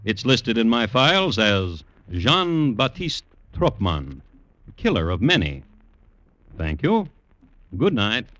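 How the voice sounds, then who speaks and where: Low, deadpan radio crime storyteller. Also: male voice, no room sound, exaggerated voice. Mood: wry, deadpan. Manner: deadpan, measured, formal. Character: dry, droll crime historian hosting his true-crime tales. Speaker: host and narrator. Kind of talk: scripted 1950s radio narration. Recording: noisy vintage radio recording.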